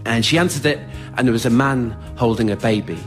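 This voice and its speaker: A man's voice: mid-range voice, brisk, soft background music. A man tells an autobiographical story to a theatre audience in an understated, wry, plain-spoken way, as the writer and performer of a solo show. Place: theatre stage in front of an audience.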